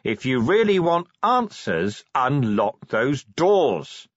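Audiobook police commander, middle-aged man, played by the narrator: combative, blunt, sardonic, highly animated delivery. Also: takes it slowly, no room sound, lo-fi sound. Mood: angry, forceful. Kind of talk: audiobook character acting.

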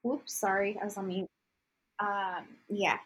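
Woman, husky voice, medium pitch, casual co-host, informal, meandering storytelling. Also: little pitch movement, measured pace, a bit bright, low-quality recording. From Egypt, until about thirteen. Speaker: podcast co-host. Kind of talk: casual chat. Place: remote call.

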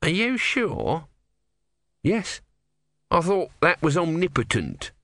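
Man voicing a grumpy, sardonic little tortoise god, animated. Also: a little high, takes it slowly, no echo, pitched above the performer's own voice, full character voice. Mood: grumpy, evasive. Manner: evasive, sardonic, grumbling. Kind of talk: dramatised storybook reading.

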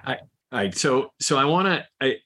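A man's voice: mid-range voice, animated, normal speed, sharp tone. A man hosting a podcast interview, asking curious questions with frequent false starts. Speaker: podcast host. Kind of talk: conversational interview.

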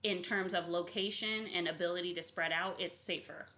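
A woman's voice: on the low side, fairly even intonation, normal speed, bright, forceful tone. A woman co-hosting a podcast, teasing her friend in emphatic, casual chat. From Arizona. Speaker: podcast co-host.

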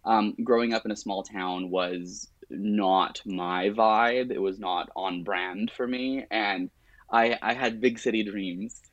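A man's voice: on the low side, fairly even intonation, slow, no echo, lo-fi sound. A middle-aged man, chatting casually in an interview in a wry, self-deprecating way. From born in Lebanon, grew up partly in rural Alberta, Canada. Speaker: realtor, interview guest.

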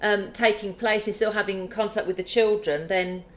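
A woman hosting a live call-in session, calmly explaining family law to callers. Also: medium pitch, moderate pace. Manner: calm, explanatory, reassuring.

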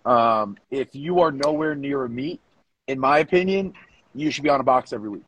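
Husky-voiced man co-hosting a casual podcast. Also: mid-range voice, very animated, brisk, a bit bright, dry recording, low-quality recording. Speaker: podcast co-host giving coaching opinions. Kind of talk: casual discussion.

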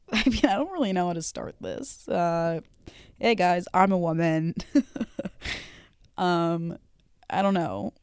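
A young woman talks through her solo podcast in a loose, rambling way, full of ums and casual swearing. Her voice sits a little low and comes across smooth and clear, with a slight hoarseness. The sound is dry and close, with no room echo. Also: a little mellow. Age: young adult. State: voice a bit hoarse. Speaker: podcast host.

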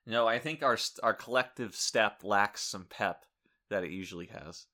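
A young man co-hosting a podcast, making dry deadpan jokes in casual chat and restarting his sentences often. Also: lowish voice, moderate pace. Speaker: podcast co-host.

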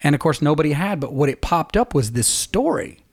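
A middle-aged man talking casually as a podcast co-host, chatty and quick to laugh as he wanders into stories. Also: fairly high pitch, sing-song, no room sound, clean studio sound. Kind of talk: casual chat.

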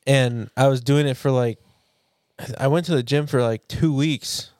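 Young man, average pitch, echo-free recording, casual podcast banter, blunt, slangy. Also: a little slow, a little mellow, studio quality. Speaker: podcast co-host. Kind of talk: casual chat between friends.

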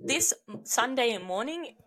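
A woman co-hosting a casual podcast, bubbly and excitable, her voice hoarse and worn out. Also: on the high side, slow, crowd noise. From New Zealand or Australia. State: hoarse, has lost her voice. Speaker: podcast co-host. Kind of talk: casual chat.

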